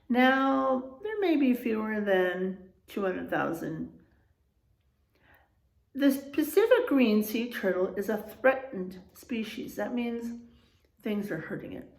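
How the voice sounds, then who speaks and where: A woman teacher reading to young children in an expressive way, pausing for explanatory asides and checking in with her listeners. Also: medium pitch, vocal fry. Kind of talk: animated children's read-aloud with explanatory asides. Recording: echoey room sound.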